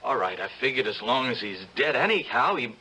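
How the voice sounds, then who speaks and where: An actor playing a panicky thirty-year-old ex-convict in an old-time radio drama, stammering and pleading in a raspy streetwise way. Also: male voice, mid-range voice, very animated, a little fast, bright, forceful tone, exaggerated voice, rough recording. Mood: nervous, defensive.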